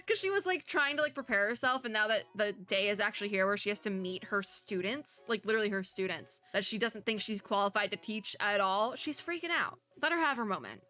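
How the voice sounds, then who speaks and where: A young woman with a hoarse, worn voice, giving giggly, chatty commentary on a story game and reading its text aloud. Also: medium pitch, bright, forceful tone. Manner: giggly, chatty, cheers on characters. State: hoarse, sore throat. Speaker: VTuber.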